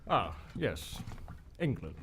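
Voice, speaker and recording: A man's voice: medium pitch, big pitch swings, slow, fairly bright tone, slightly rough, full character voice. A man playing a courteous young prince with a dry manner in live radio theater. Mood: wry. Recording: live stage recording with background noise.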